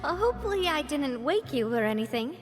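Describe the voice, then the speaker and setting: A woman's voice: high voice, sing-song, fairly quick. A woman playing a cheerful, teasing, confident young lady boss in a video game, breezy and polished. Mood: warm, cheerful. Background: game music.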